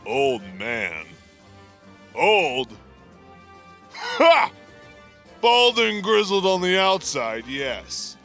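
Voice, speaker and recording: Slow, boastful grizzled old warrior. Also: male voice, sits high, huge pitch swings, pushed and bright, faint music behind, full character voice. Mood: proud, indignant. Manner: hearty, boastful. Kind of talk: game character voice acting.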